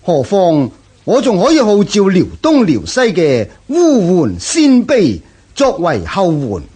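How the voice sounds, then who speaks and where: An actor playing a proud, scornful Xiongnu chieftain in a Cantonese historical radio drama, declaiming grandly with a Hong Kong accent. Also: male voice, high voice, huge pitch swings, slow, dark tone, slightly rough, heavily acted. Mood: scornful, boastful.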